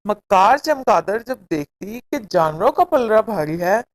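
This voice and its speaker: A woman narrating a scripted moral story for children in a measured, expressive storytelling manner. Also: medium pitch.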